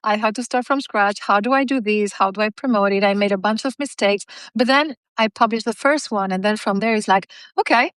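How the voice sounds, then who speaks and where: A woman's voice: medium pitch, brisk, few pauses, fairly bright tone, clean tone, no echo, rough recording. A woman whose first language is Spanish, answering interview questions in an earnest, reflective way with some fillers. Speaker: podcast guest, business writer.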